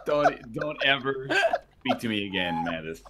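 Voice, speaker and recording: A man's voice: mid-range voice, expressive, unhurried, heavy background noise. A man bantering on a gaming voice chat, telling stories in a comically aggrieved, ranting way. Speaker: gamer on a group voice chat. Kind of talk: casual banter while playing a video game.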